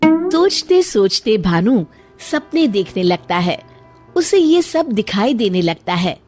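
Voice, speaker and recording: A woman's voice: medium pitch, very animated, slow, darkish tone, soft background music. A woman narrating a scripted children's moral story.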